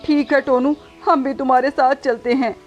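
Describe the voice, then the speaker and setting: A woman's voice: sits high, steady tone, normal speed, dull audio, faint music behind, close to an everyday voice. A woman voicing a reassuring, earnest father bird and husband in a cartoon moral tale.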